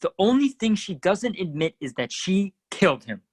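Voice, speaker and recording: A man playing a forceful, accusing district attorney in a radio play, theatrical and emphatic. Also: sits high, sing-song, normal speed, no room sound, studio quality. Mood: emphatic, damning. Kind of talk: radio play courtroom acting.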